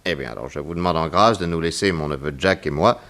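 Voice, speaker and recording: An actor playing a composed middle-aged private detective in an early sixties French radio drama, polite, brisk and commanding. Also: male voice, a bass voice, fairly bright tone. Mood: courteous. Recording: old radio production with music behind.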